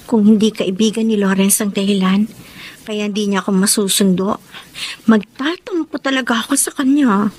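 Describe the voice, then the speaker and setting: A woman's voice: medium pitch, normal speed, darkish tone, a little hiss or hum, close to an everyday voice. An actress with a Filipino accent playing a young, understanding and trusting girlfriend in a radio drama. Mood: wounded. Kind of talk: Tagalog radio drama acting.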